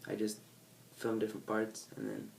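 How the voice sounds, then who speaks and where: A nasal teenage boy from California rambles to camera, correcting himself and drifting between thoughts. His voice sits fairly low and a little distant from the microphone, with a faint hum of ambience in the background. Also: monotone, moderate pace, vocal fry, a little mellow. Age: teens. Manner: rambling, self-correcting, laid-back. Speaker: vlogger. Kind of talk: casual unplanned chat to camera. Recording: faint background ambience, set back from the mic.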